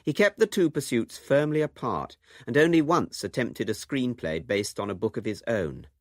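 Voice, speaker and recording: A man's voice: mid-range voice, lively intonation, moderate pace, no room sound, studio quality. A man giving a scripted narration for a radio documentary.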